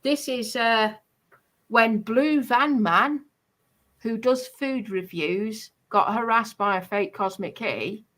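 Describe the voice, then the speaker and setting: A woman's voice: a little high, slow, fairly bright tone. A woman chatting casually and airing grievances, hesitant and repetitive but insistent. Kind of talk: casual chat, recounting personal grievances.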